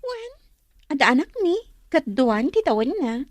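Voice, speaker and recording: Sweet talking sneaky girlfriend, high voice, ilocano accent, radio drama. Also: sing-song, moderate pace, no room sound. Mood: coy. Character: Angie, sweet-talking two-timing girlfriend, secretly a mother.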